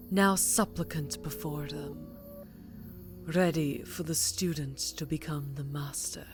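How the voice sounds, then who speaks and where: Woman playing a slow, low-voiced seductive vampire countess. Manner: slow, intimate, taunting, often dropping to a whisper. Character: seductive, cruel female vampire countess, coldly triumphant. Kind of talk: scripted fantasy ASMR roleplay acting. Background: background music.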